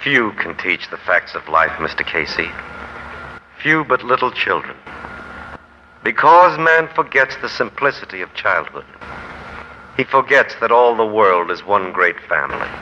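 An actor playing a gentle, forgiving philanthropist in a 1940s radio drama, speaking in a measured, formal, earnest way. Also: male voice, lowish voice, big pitch swings. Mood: compassionate. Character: gentle, saintly male philanthropist, calm and forgiving. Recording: muffled, hissy 1940s radio broadcast.

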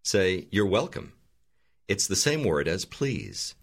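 Deep-voiced male course narrator. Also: slow. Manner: calm, clear prompting. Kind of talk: scripted instructional narration.

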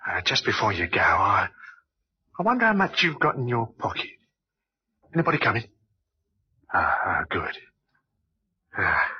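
A young crook in an old radio play, halting speech, big pitch swings, muffled sound. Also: male voice, sing-song, breathy edge, exaggerated voice. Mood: menacing, gloating. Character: young male crook, shy lover turned coldly menacing. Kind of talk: old-time radio drama acting. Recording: muffled old radio recording, faint noise.

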